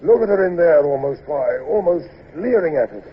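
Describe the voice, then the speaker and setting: A muffled, lightly hissy old radio play recording of an actor as a loyal, earnest naval subordinate. His rather high voice moves up and down a great deal, deferential and a little anxious toward his superior. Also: expressive, fairly quick, lightly acted. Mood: concerned reporting. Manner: respectful, hesitant, earnest. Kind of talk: 1950s radio drama acting.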